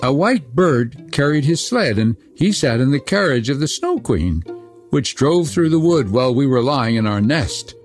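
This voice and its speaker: A man voicing gentle wood pigeons in a storybook reading, slow and sing-song. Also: mid-range voice, very animated, soft background music, voice pushed up, lightly acted.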